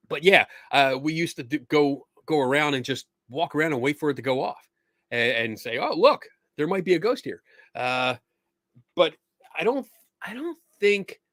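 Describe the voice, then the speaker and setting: A man hosting a live stream, answering viewer questions in a rambling, casual way with many fillers, and his voice is going hoarse. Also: medium pitch, fairly bright tone, no room sound, clean studio sound. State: losing his voice, clearing throat.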